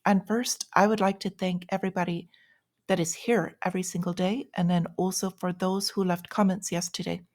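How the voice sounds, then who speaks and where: A woman hosting an informal market news update, explaining things in short, measured phrases with small pauses. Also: on the low side, fairly even intonation, a little mellow. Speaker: host of a daily finance update show.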